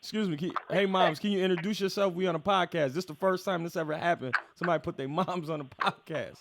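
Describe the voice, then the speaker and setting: A man's voice: sits high, quick pace, creaky, background noise. A man hosting a podcast, steering the talk with a teasing, profane, playful manner and frequent interruptions. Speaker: podcast host. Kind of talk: casual podcast chat.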